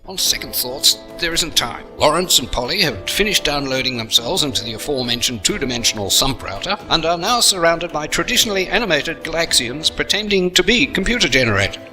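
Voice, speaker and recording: A man's voice: on the high side, animated, brisk, sharp tone, soft background music. A man playing a mock-grand, wordy and testy narrator of a comic sci-fi audio adventure. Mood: mock-serious.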